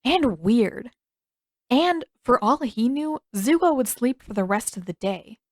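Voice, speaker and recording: A woman reading scripted fiction as an expressive audiobook narrator. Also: fairly high pitch, unhurried, no room sound, clean studio sound. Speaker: narrator and author.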